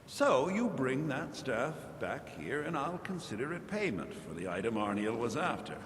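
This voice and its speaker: A man playing a shady, matter-of-fact mage fence in a video game, speaking in a sly, measured, nonchalant way. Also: sing-song, lightly acted. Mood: sly, businesslike. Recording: very echoey hall, loud rumbling noise.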